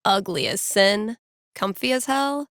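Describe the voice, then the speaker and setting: A woman's voice: medium pitch, measured pace, a bit bright, no echo, lightly acted. An actress playing a wry, playful young woman DJ in a video game, musing to herself in a dry, conversational way. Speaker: video game character, radio DJ and record store worker. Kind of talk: video game voice lines, casual inner monologue.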